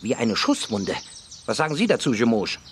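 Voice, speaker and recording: An actor playing a distraught, fussy, excitable male painter in a radio crime drama. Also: mid-range voice, normal speed, slight background noise, lightly acted. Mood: excited, alarmed. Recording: birdsong and outdoor-like ambience behind.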